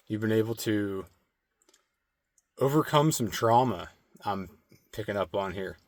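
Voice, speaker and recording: Man, slightly hoarse strained throat, slow meditative card reading, lots of "like" fillers, dry close sound. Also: lowish voice, dry recording. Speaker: spiritual card reader. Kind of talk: intuitive oracle card reading.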